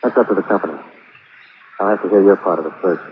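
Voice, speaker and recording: Casting: man acting shrewd, no-nonsense detective type, lowish pitch, vintage radio crime serial, narrow hissy sound. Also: fast talker, lightly acted. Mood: firm, persuasive. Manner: firm, plainspoken, hardboiled. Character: tough, plainspoken, shrewd male insurance investigator. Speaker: lead investigator and narrator. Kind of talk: 1950s hardboiled radio drama acting. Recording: noisy old radio recording, narrow band.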